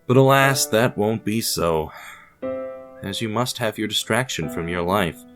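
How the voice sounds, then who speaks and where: Low-voiced man narrating a wry, ominous story. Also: animated, measured pace, music in the background. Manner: wry, ominous, addresses the listener formally. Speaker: narrator of a fiction podcast.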